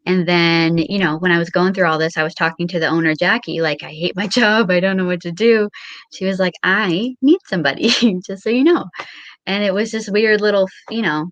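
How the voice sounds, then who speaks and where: An American woman in her thirties chatting casually in an animated, informal way with wry exaggeration. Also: lowish voice, brisk, dry recording, highs cut off. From Long Island, New York, USA. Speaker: guest. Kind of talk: casual chat, anecdotes.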